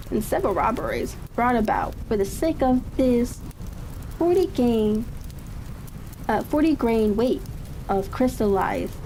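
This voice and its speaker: A woman calmly reading a classic mystery story in an unhurried ASMR style, sometimes halting over words. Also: fairly high pitch. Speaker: audiobook reader. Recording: steady rain-like crackling ambience behind. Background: fireplace crackle ambience.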